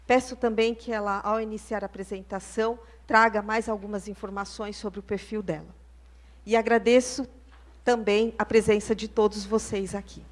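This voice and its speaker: A woman professor, formally introducing a guest speaker in a slow, hesitant way. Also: medium pitch, steady tone. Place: lecture hall.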